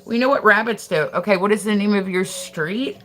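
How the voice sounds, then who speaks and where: A woman's voice: mid-range voice, brisk, fairly bright tone, soft background music. An American woman livestreaming from her house, chatting casually and gossipy, full of fillers and swearing, answering her viewers as she goes. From United States. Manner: chatty, gossipy, loose and profane, lots of "like" fillers.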